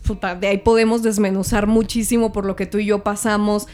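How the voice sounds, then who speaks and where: A woman's voice: medium pitch, fairly quick, faint music behind. Chatty woman hosting a podcast. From Parral, Chihuahua, Mexico. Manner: lively, laughs often, colloquial. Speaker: podcast host. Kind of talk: casual chat.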